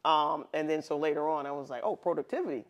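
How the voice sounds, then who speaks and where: An upbeat woman guest talks through a casual interview with earnest emphasis. Her delivery stays warm and lively. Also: low-pitched, quick pace.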